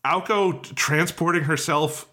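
A man's voice: a little high, a little slow, sharp tone. A man co-hosting a podcast, chatting casually about a review in an informal, amused way with some swearing. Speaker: podcast co-host.

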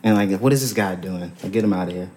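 A young man, a rapper, chatting casually with a relaxed, sit-back delivery. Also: low voice, fast, reverberant. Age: young adult. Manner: laid-back delivery that sits back. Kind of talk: casual chat. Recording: music clearly playing behind.

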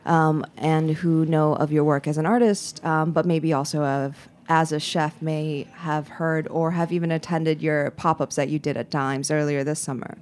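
Low-voiced woman co-hosting a chat. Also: darkish tone. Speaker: podcast co-host. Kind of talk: casual chat.

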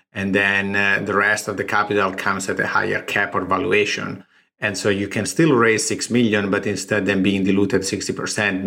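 A man's voice: lowish voice, creaky, bright, forceful tone. A man from Italy with an Italian accent, a startup founder talking through his fundraising in an interview, explaining figures step by step. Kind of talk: recorded interview.

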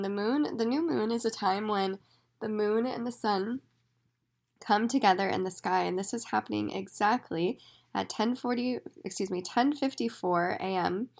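A woman hosting a solo astrology podcast, her voice raspy and hoarse, speaking in an enthusiastic, effusive way. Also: mid-range voice. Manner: enthusiastic, effusive, talks in spiritual terms. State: hoarse after days of yelling. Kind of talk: casual solo podcast monologue.

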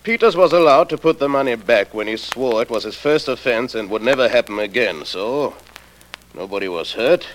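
An actor playing a smug, knowing theater producer in an old radio drama, measured and insinuating. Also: male voice, medium pitch, lively intonation, close to an everyday voice. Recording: noisy old radio play recording.

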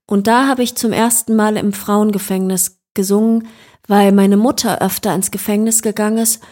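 A woman's voice: medium pitch, fairly even intonation, normal speed, mellow. A woman musician talking about her work as an interview guest, thoughtful and searching for words, with frequent fillers and restarts. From Germany. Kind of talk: reflective interview talk.

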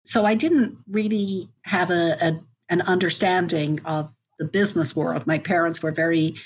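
Irish woman, measured and reflective. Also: fairly low pitch, steady tone, slight background noise. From Ireland. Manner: measured, reflective, with small restarts. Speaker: university IT executive, guest. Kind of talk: conversational interview.

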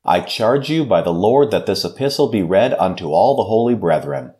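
An American man speaking as a pastor in a measured, solemn and formal devotional style. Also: on the low side, animated, mellow. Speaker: pastor and podcast host. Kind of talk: devotional scripture reading, short sermon and prayer.

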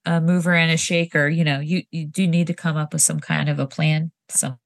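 A woman's voice: low-pitched, flat delivery, brisk, no room sound, studio quality. A woman co-hosting a podcast with a stuffy nose, chatting casually and laughing easily. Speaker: podcast co-host. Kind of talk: casual chat.

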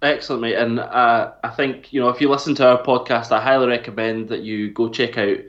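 A man hosting a football podcast, friendly and relaxed, calling his guest mate. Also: mid-range voice, fairly quick. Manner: friendly, laughs easily, says mate. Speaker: podcast host. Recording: slightly dull audio.

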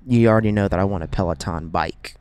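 A man's voice: low voice, fast talker, darkish tone, clean tone, no room sound. A young adult man co-hosting a podcast, bantering casually with slangy, joking interjections and questions. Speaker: podcast co-host.

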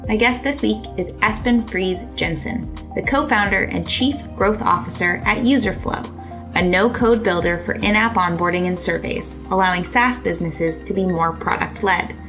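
A woman hosts a podcast with an upbeat, smooth delivery and a gravelly, fry-heavy edge to her voice. Faint music sits behind her. Her pitch is mid-range. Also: moderate pace, fairly bright tone. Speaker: podcast host. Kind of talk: scripted intro then interview.

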